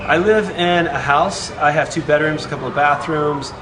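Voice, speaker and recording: A man's voice: on the high side, normal speed, low-quality recording. A man who teaches, answering interview questions in an upbeat, casual way. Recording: clear music bed.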